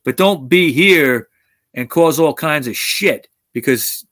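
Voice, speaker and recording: A man's voice: a little high, lively intonation, takes it slowly, fairly bright tone, no room sound, studio quality. A man hosting a talk show, speaking bluntly with frequent swearing and restarts. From United States. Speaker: podcast host. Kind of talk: opinionated casual talk.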